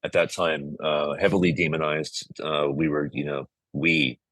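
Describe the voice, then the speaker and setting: A man's voice: rumbling low, fairly even intonation, measured pace, fairly bright tone, no echo. A middle-aged American man giving reflective interview answers, earnest and often searching for his words. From United States, San Francisco Bay Area. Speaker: interview guest, musician and music compiler.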